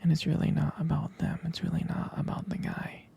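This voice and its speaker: Man acting a breathy, whispering jealous boyfriend. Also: a little high, monotone, a little fast, exaggerated voice. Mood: jealous, possessive. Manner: soft, hesitant, intimate whispering. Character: jealous, protective, doting boyfriend soothing his sweetheart. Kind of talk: whispered boyfriend roleplay ASMR.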